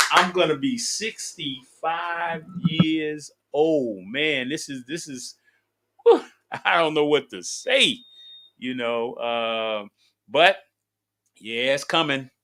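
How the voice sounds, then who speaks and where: A man's voice: a little high, lively intonation, takes it slowly, bright, forceful tone. A man in his sixties hosting his podcast, chatting in an upbeat, rambling way with lots of fillers and restarts. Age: 60s. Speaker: podcast host. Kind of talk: casual chat.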